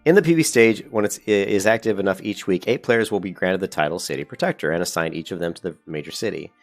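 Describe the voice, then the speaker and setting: A man's voice: deep voice, fast, soft background music. A man with a cold and a congested voice, hosting a casual gaming video and reading features off a website in a rambling, self-correcting, upbeat way.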